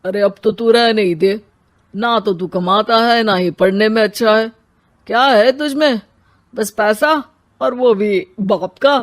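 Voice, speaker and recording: A woman's voice: mid-range voice, a little slow, natural voice. A woman voicing a teasing, mocking young male friend in a cartoon story, with a taunting manner.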